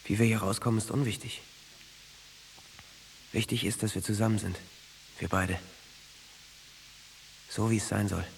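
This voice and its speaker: Verträumter junger Soldat mit knarziger, tiefer Stimme. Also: male voice, on the low side, fairly even intonation, normal speed, vocal fry, long pauses, slight background noise, poor audio. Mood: dreamy, intimate. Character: dreamy young traumatized soldier who believes he can fly. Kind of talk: naturalistic German radio drama acting.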